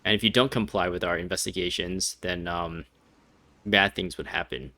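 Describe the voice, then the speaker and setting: Young guy rambling over his playthrough, crisp bright voice, dry close mic. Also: sits low, steady tone, normal speed, no echo. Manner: rambling, hesitant, thinking aloud. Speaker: gaming video host. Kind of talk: casual playthrough commentary.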